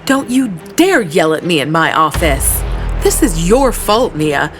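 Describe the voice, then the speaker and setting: A woman's voice: lowish voice, big pitch swings, a little slow, soft background music. An actress playing a stern female magazine boss in an audio drama, firm, blunt and reproachful. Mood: angry, reproachful.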